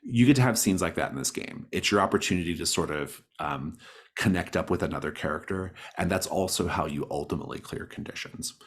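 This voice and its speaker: A man's voice: sits low, a little fast, fairly bright tone, slightly rough. A man speaking casually and encouragingly as a game master, explaining rules and setting to his players. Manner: encouraging, affirming players' ideas.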